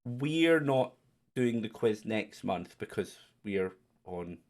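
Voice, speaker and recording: A man hosting a livestreamed quiz in a chatty, teasing way. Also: slow pace. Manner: teasing, chatty, lively jumps in pitch. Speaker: quiz host. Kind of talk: casual livestream chat.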